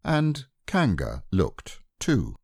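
Man, storybook narrator, gentle, expressive, very dry close sound. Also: on the low side, drawn-out words, no echo. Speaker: audiobook reader.

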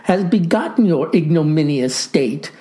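A woman's voice: sits low, expressive, a little slow, mellow. A woman voicing a stern, self-righteous male farmer who speaks in verbose, formal phrases in a comic story.

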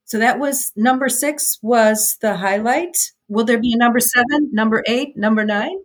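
A woman hosting an interview podcast, asking her guest clear, measured questions. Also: a little high. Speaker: podcast host.

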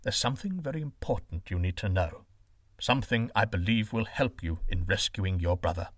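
A gentle older shepherd father, voiced by a male storyteller, speaks softly in a lowered, measured tone. His pitch still moves expressively, and the recording is dry and close. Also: lively intonation, no room sound. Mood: grave.

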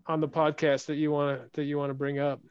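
A man hosting a podcast interview, talking in a soft, hesitant way with many restarts. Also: fairly high pitch, level tone, fast, clear, resonant tone, no room sound, dull audio. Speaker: podcast host. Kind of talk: casual interview chat.

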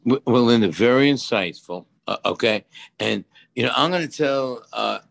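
A man's voice: mid-range voice, moderate pace, creaky, a bit bright. A man in his seventies giving casual advice on a talk show in a rambling, folksy way. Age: 70s. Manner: rambling, folksy, repeats "you know". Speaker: expert co-host.

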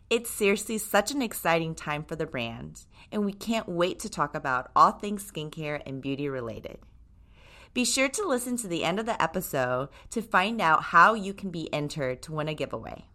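A woman in her thirties from Japan hosts a podcast in a scripted solo talk. Her voice sits low, but her pitch moves widely, rising and falling with upbeat enthusiasm. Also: pushed and bright. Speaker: podcast host, brand co-creator.

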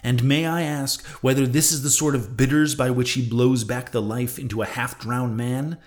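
A man's voice: medium pitch, fairly even intonation, normal speed, close to an everyday voice. A man voicing an indignant, sarcastic whaling ship's mate in a sea-novel audiobook, emphatic and full of mocking questions.

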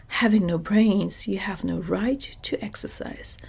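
A woman softly whispering the lines of a pompous male scarecrow king in a storybook reading. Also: mid-range voice, a little slow, creaky, breathy edge. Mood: lecturing.

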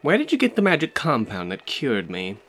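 A man reading a story aloud voices a spoiled, flighty rich young woman who gives orders in a raised, pitched-up voice. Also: huge pitch swings, moderate pace, a bit bright, slightly rough, faint music behind, heavily acted. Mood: imperious.

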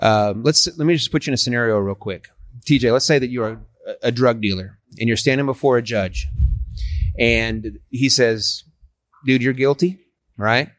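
A man's voice: mid-range voice, normal speed. A man in his forties talks earnestly, working hard to persuade and often restarting his sentences. His voice sits in an ordinary middle range. The sound is dry, with no room echo. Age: 40s. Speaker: street evangelist. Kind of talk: casual street conversation.

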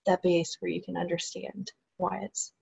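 A woman's voice: on the low side, level tone, normal speed, vocal fry, a little mellow, breathy edge. A woman guest talking earnestly and with enthusiasm, letting her sentences trail off, heard over a remote call. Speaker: guest, support group organizer. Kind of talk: casual interview chat.

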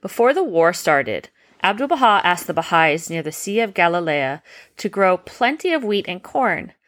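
A woman voicing a gentle, patient uncle who explains things in a bedtime story. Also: fairly low pitch, big pitch swings, normal speed. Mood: patient explaining. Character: gentle, patient uncle, a humble carriage driver.

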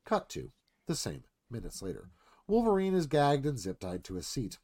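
A man reads screenplay directions as a narrator in a live performance. His voice is mid-pitched and lively, moving up and down with the action. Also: moderate pace. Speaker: narrator reading stage directions.

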